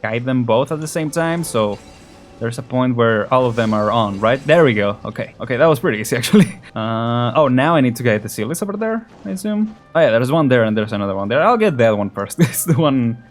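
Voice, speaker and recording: A man's voice: medium pitch, lively intonation, fairly quick. A man hosting a gaming video with casual commentary, offhand and chuckly, muttering asides as he plays. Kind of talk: casual let's play commentary. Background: game music.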